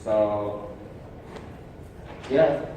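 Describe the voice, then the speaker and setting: A man with an Indonesian accent, a native Indonesian speaker, talking haltingly with many fillers as a panelist. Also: lowish voice, dark tone, lo-fi sound. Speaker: panelist, inventor. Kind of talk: panel talk. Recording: echoey hall, distant mic, noisy.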